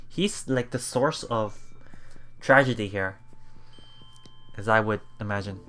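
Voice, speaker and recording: A man doing a let's play, reading visual novel text aloud haltingly, with stumbles, self-corrections and casual asides. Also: on the low side, bright, forceful tone.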